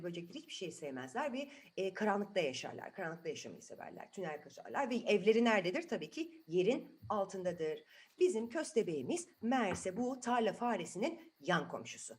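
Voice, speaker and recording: A woman's voice: fairly low pitch, a little mellow. A woman telling a story to children in an improvised, chatty way, asking the listeners questions as she goes.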